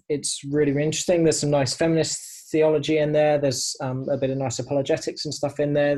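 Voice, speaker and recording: A man's voice: mid-range voice, a little mellow. A man co-hosting a podcast, talking casually and conversationally. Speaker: podcast co-host.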